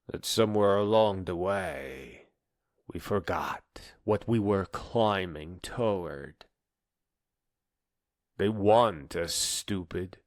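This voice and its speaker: A man delivering a scripted spoken-word monologue in a solemn, deliberate way with poetic phrasing and pauses. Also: medium pitch, no room sound, studio quality.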